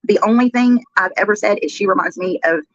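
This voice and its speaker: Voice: mid-range voice, brisk, dry recording. Chatty, sarcastic livestreamer, dull sound. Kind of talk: casual livestream chat. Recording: dull lo-fi replayed livestream audio.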